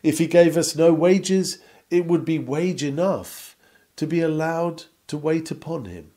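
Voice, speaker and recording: A man reading an old-fashioned sermon aloud in an earnest, measured, oratorical way. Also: fairly high pitch, warm tone. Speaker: sermon reader.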